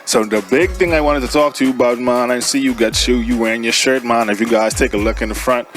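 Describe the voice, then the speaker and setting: A man hosts a casual radio interview in a mid-pitched voice. He talks in slang and hypes up his guest. There is music behind him. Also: fairly even intonation, fast. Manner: slangy, hyping up the guest with shout-outs. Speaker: radio show host interviewer. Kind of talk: casual hosting chat. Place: studio.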